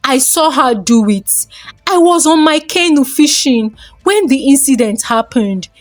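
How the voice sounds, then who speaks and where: Lady reading as a modest poor fisher boy in a folktale, high voice, unhurried. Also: animated, no echo. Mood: plain.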